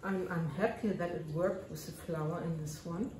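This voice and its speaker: A German woman with a German accent, narrating her resin crafting casually and often pausing to search for English words. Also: on the low side, fairly even intonation, reverberant, distant mic, background noise.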